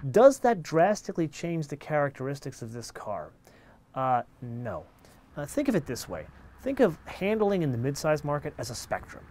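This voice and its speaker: A middle-aged man hosting a car show, explaining features in a lively, animated way outdoors and behind the wheel. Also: a little high, moderate pace, slight background noise. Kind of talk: car review presentation.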